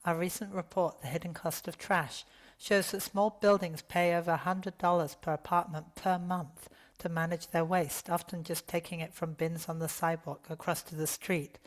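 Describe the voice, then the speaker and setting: Hoarse woman, voice nearly gone, somewhat low pitch, video call, hesitant testimony. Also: fairly even intonation, normal speed. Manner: hesitant, self-correcting. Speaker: public testifier.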